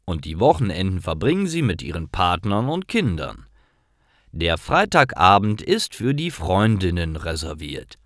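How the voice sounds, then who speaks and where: A man reads short stories for language learners in a lowish voice with lively intonation. He takes his time over simple sentences. The recording is dry and close. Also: a little slow, no echo. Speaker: narrator of German learning stories. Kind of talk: scripted storytelling for language learners.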